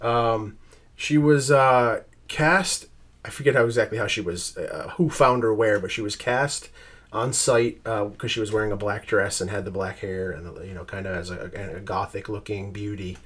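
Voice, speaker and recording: A man co-hosting a podcast, talking casually in a hesitant way, with lots of pauses and restarts. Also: fairly low pitch, a bit bright. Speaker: podcast co-host. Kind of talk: casual chat.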